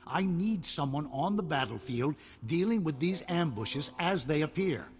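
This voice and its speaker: Male actor as husky determined general, urgent orders, video game, long reverb, loud music. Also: a little high, animated, moderate pace, lightly acted. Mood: grave, urgent. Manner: commanding, urgent, terse. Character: grim, determined male army general in wartime.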